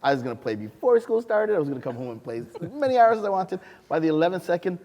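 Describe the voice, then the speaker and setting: A man from Canada telling a humorous story with animated energy on a panel. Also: sits high, fast talker, highs cut off. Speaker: science museum chief executive. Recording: long hall reverb.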